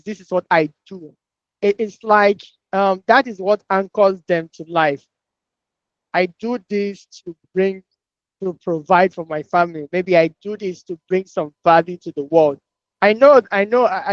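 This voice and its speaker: Casual discussion voice: thoughtful, halting, mid-pitched, on a dry and muffled lo-fi recording. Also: no room sound. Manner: hesitant, reflective, with repeated words.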